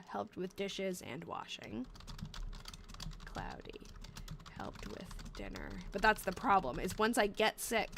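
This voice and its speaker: A woman's voice: mid-range voice, gravelly, a bit bright, a little hiss or hum. A young woman in her twenties talks loosely on a live stream, her nose stuffed up and her voice wearing thin. She reads terse old diary lines aloud, then drifts into chatty asides and often breaks off mid-sentence. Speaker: livestream host reading old diaries. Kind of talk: casual live-stream chat while reading diary entries aloud.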